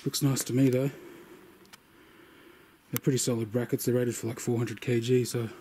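A man's voice: mid-range voice, normal speed, a little hiss or hum. Laid-back man filming outdoors. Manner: relaxed, easygoing, lots of ums and yeahs. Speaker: off-grid builder filming his project. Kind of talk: casual vlog narration. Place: outdoors in bushland and a work shed.

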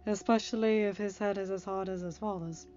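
A kindly old hermit mentor voiced by a woman in an audiobook, speaking in a gentle, measured, musing way. The sound is a little dull, with music behind. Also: mid-range voice, steady tone, natural voice. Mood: kindly, reassuring. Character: calm, kindly older bearded male hermit mentor.

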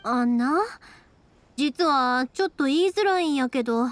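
A woman's voice: sits high, lively intonation, normal speed. A woman voicing a spirited, caring young woman in an anime game, earnest and colloquial with a Kansai accent. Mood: surprised, understanding. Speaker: voice actor in a game.

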